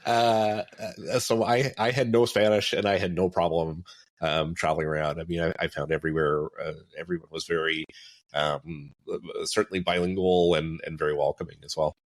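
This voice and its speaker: Halting low-voiced man from Alberta. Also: steady tone, no echo. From Alberta, Canada. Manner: halting, many restarts and fillers. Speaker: podcast guest, travel podcaster. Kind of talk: casual interview chat.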